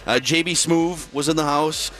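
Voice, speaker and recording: A man co-hosting a sports radio show in casual chat, excitable and rambling, often restarting his sentences. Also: a little high, moderate pace. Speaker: radio co-host. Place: broadcast booth at a busy media row.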